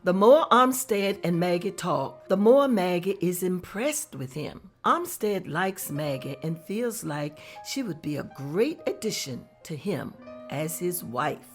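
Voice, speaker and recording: A woman narrating the scene directions at a table read in a plain, steady manner. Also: fairly low pitch, a little slow, faint music behind. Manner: plain, steady descriptive reading. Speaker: narrator reading stage directions.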